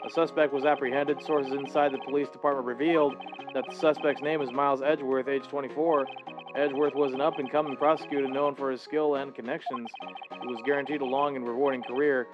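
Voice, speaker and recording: A performer doing a formal TV news anchor, with a crisp announcer delivery. The recording is slightly dull and lo-fi, with music behind it. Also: medium pitch, flat delivery, fast, lightly acted.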